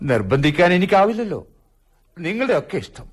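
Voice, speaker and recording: A man's voice: high-pitched, sing-song, fairly quick, husky, heavily acted. A man acting a worried, meek middle-aged father in a radio drama, speaking hesitantly and deferentially. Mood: resigned, uneasy.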